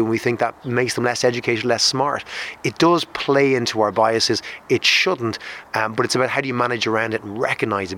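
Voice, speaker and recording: A man explaining points in a brisk, reasoned way. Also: mid-range voice, a bit bright, slight background noise. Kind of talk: explanatory talk.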